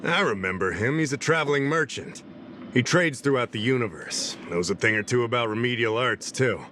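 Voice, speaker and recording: An actor voicing a boisterous, absent-minded male investigator in a video game, talkative and expressive. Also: medium pitch, brisk, a little hiss or hum. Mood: breezy, musing.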